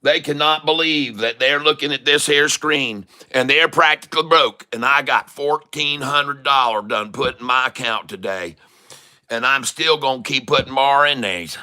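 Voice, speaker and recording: A man's voice: mid-range voice, normal speed, bright, forceful tone, clean tone. A man with an exaggerated folksy Southern US country drawl, talking cocky and boastful as he hosts a casual trading livestream, swearing freely. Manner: chuckles often, swears casually. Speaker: livestream stock trading host. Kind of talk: casual livestream chat, hype trading commentary.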